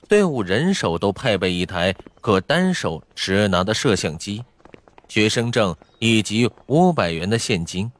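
A man's voice: on the low side, a bit bright. A man narrating fiction from a script as a dramatic audiobook. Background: faint background music.

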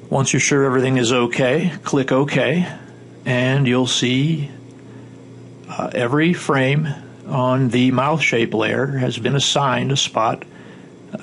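A man giving informal, step-by-step instructional narration as a software tutorial instructor, with a gravelly voice. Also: medium pitch. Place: indoor voice-over for a screen recording. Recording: faint background noise.

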